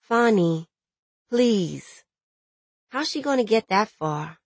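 A woman voicing a loving, steadfast young woman in an audiobook, speaking in a gentle, earnest and reassuring way. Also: mid-range voice, animated, slow pace, breathy edge, no room sound, pitched above the performer's own voice, heavily acted. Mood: pleading, reassuring. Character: loving, steadfast pregnant young woman soothing her jailed lover. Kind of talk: dramatic audiobook character dialogue.

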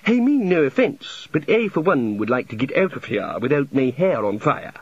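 A man voicing a prim, formal, middle-aged housekeeper with a sharp tone. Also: mid-range voice, big pitch swings, normal speed, breathy edge, no room sound. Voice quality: sharp, proper and carefully formal. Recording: slightly dull, dry audiobook recording.

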